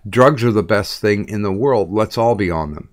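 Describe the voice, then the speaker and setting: A middle-aged man hosting a casual interview, speaking haltingly with many fillers and restarts. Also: on the low side. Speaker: podcast interviewer.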